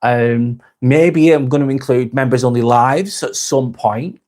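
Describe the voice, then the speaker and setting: Man, mid-pitched, rambling live-stream host, laid-back, swears casually. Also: unhurried. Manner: relaxed, rambling, frequent restarts and swearing. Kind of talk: casual live chat reading comments.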